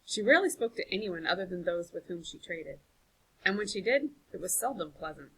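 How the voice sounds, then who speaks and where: A woman's voice: lowish voice, expressive, fairly quick, a bit bright, slightly rough, low-quality recording. A woman narrating a children's storybook expressively, like a teacher reading aloud.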